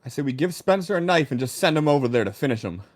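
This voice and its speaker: A man's voice: fairly high pitch, lively intonation, fast talker. A man playing in a tabletop game, joking crudely in casual table talk. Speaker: player.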